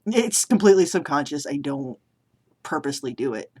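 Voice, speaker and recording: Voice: mid-range voice, animated, brisk, darkish tone, slightly rough. Rambling vlogger from New England. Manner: rambling, self-deprecating, frequent restarts. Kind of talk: casual chat to camera. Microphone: camera mic.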